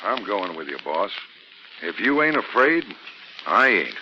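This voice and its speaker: An actor in a 1940s radio drama playing a bridge worker secretly bent on revenge, urgent and theatrical. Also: male voice, low voice, very animated, measured pace, highs cut off, full character voice. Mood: loyal, steady. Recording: noisy old 1940s radio recording.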